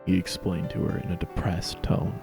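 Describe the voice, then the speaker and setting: A man's voice: deep voice, little pitch movement, moderate pace, creaky, over music. A man narrating a dramatic reading of fan fiction.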